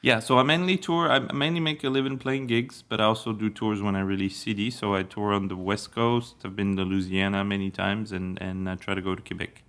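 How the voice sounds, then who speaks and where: A man's voice: on the low side, fairly even intonation, a little fast, hardly any pauses, a bit bright. A middle-aged man from Paris with a French accent, a singer-songwriter talking casually as an interview guest. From Paris, France.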